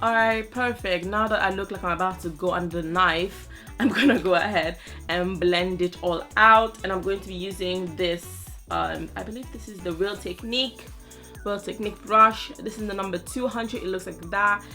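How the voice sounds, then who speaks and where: A woman's voice: mid-range voice, moderate pace, sharp tone, faint music behind. A young woman whose first language is French, chatting through a makeup tutorial in a sassy, playful way with slang asides. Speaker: beauty vlogger.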